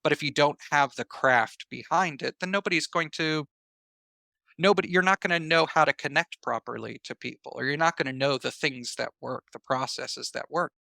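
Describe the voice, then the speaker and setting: A man's voice: a little high, moderate pace, creaky, sharp tone, no echo. A man speaking as an interview guest, reflective and earnest, emphatically rephrasing his points as he explains. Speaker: podcast guest, writer and actor.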